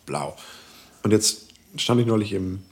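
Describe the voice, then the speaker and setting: A man's voice: on the low side, animated, a little slow. A man, a guest in casual podcast banter, talking in a playful, wry, colloquial way.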